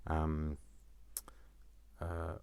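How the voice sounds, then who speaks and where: A man's voice: extremely low pitch, completely flat delivery, very slow, vocal fry, darkish tone, clear, resonant tone, dry recording. A man presenting a craft tutorial in a casual, chatty way, rambling with self-deprecating, jokey asides. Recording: noticeable background noise, small clicks.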